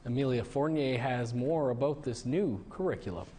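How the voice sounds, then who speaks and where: A man presenting the news from a television studio in a lively, upbeat anchor delivery. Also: mid-range voice, moderate pace, a little hiss or hum.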